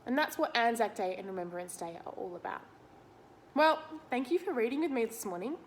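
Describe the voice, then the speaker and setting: Aussie woman reading a kids book out loud, highish voice, explains stuff and asks little questions, bit of noise behind her. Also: animated, brisk. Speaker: read-aloud teacher. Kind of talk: children's picture book read-aloud with simple explanations.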